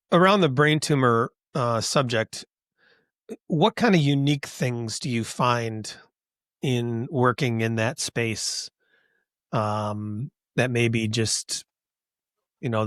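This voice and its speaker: A middle-aged man from Western New York hosting a podcast, relaxed and encouraging, with frequent fillers. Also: mid-range voice, takes it slowly, dry recording, studio quality. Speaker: podcast host. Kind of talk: casual podcast interview.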